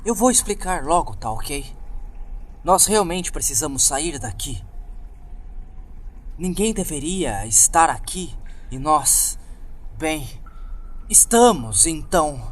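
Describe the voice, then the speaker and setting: A Brazilian man voicing an earnest, troubled young woman who practises witchcraft, explaining herself haltingly in a horror story. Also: fairly high pitch, very animated, voice pushed up, heavily acted. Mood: urgent, hesitant. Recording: music bed behind the voice.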